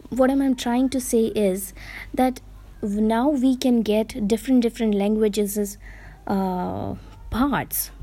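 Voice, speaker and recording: A woman's voice: mid-range voice, mellow. A woman from India with an Indian English accent, whose first language is Gujarati, giving earnest advice in a casual vlog and correcting herself as she goes. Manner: earnest, explanatory, with self-corrections. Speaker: vlogger giving reading advice. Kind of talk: casual talking-to-camera advice.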